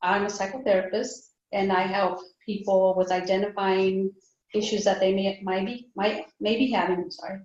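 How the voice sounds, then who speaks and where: A woman's voice: mid-range voice, little pitch movement, normal speed, darkish tone. A native Spanish-speaking woman with a Spanish accent, hesitantly practicing her introduction as a workshop participant. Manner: hesitant, apologetic. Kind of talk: practicing a spoken self-introduction. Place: indoor echoey room. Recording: roomy, distant, dull microphone.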